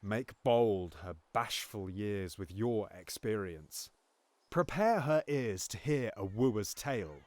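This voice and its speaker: Brief: a man as a scheming usurper king, slow and deliberate, measured stage delivery, dry close sound. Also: fairly low pitch, animated, no echo. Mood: persuasive. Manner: pleading then bitterly contemptuous, with sudden hushed whispers. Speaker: actor in audio drama. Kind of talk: Shakespearean audio drama acting.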